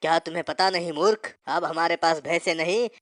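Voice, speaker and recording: A woman voicing a gruff, impatient male servant of the death god in a cartoon, bossy and scolding. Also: fairly low pitch, brisk, natural voice. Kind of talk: cartoon voice acting.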